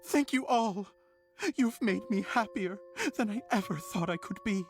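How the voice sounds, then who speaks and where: A woman voicing a shy, humble and sentimental spirit director in a video game, halting and earnest. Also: mid-range voice, lightly acted. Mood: moved. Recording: background music under the voice.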